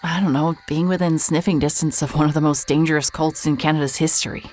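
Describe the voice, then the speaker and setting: A woman's voice: low voice, steady tone, quick pace, a little mellow, faint music behind, close to an everyday voice. An actress playing a hard-hearted, sardonic woman private detective in an audio drama, dry and pressing. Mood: probing, skeptical. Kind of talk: cinematic audio drama acting with first-person noir narration.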